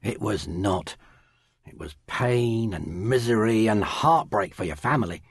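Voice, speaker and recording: A creaky, rough-voiced old great-grandfather in a radio-style drama. He is a veteran of the trenches, reflective and bitter, and his pitch moves a great deal as he speaks, in a very dry recording. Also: expressive, a little slow, dry recording, heavily acted. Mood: bitter, grave. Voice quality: gravelly, husky. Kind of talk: dramatised audio drama dialogue.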